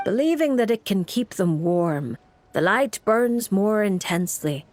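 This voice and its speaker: A woman voicing a composed, gently teasing fortune teller in a video game, with a poised, musing manner. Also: mid-range voice, expressive, measured pace, no echo, natural voice. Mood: musing, mysterious. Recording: music bed under the voice.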